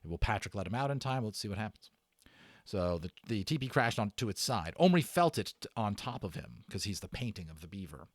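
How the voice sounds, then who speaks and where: A male narrator with a slightly low voice reads an adventure story aloud. His pitch swings widely, and he leans into key words with theatrical stress and pauses. The recording is close and dry. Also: big pitch swings, no room sound. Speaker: audiobook narrator and channel host. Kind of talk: dramatic read-aloud storytelling of a children's novel.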